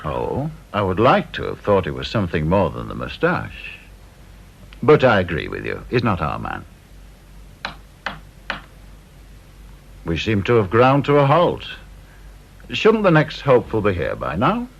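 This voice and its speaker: A coolly sardonic British official in a radio drama. His voice is low and gravelly, swinging widely as he needles with mock politeness. The recording is dull and dated. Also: wildly swooping pitch, heavily acted. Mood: dry, detached. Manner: dry, mock-polite, deliberately unsettling.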